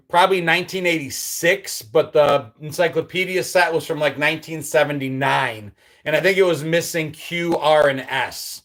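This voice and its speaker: A middle-aged man from upstate New York hosting a casual live stream, reading viewer comments and reminiscing about his childhood in an easygoing, nostalgic way. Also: a little high. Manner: reads viewer comments aloud and answers them; nostalgic, easygoing, with frequent ums and trailing drops. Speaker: livestream host.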